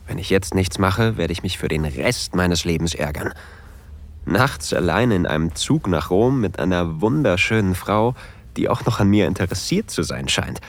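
An actor playing an introverted, easily flustered train traveler who tells of a romantic encounter in an intimate audio drama. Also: male voice, deep voice, expressive, moderate pace. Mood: intimate, longing.

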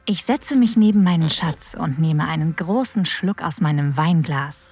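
A woman playing a flirtatious, adventurous narrator of an erotic audio drama, with a breathy voice that often drops toward a whisper. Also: low-pitched, normal speed, a little mellow, soft background music. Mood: intimate, anticipating. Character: flirtatious, adventurous woman telling her own erotic story. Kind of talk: intimate first-person scripted narration.

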